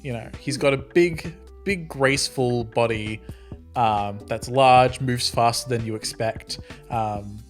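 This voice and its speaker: A man's voice: medium pitch, slow pace. A man in casual group talk about a tabletop game, with an ordinary mid-range voice. He rambles, restarts his sentences and laughs easily, with faint music underneath. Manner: rambling, self-interrupting, laughs often.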